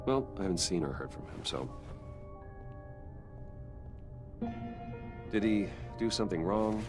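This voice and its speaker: A wealthy businessman under questioning in a game cutscene. His voice is deep, and he stays courteous yet guarded and defensive, speaking with measured care. Music is clearly audible behind him. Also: expressive. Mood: wary. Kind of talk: cinematic video game dialogue.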